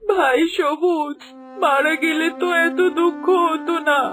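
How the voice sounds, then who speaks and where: A grieving cartoon village woman, high-voiced and slow. She sounds mournful and bitter, and music plays clearly behind her words. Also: fairly even intonation. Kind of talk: cartoon voice acting.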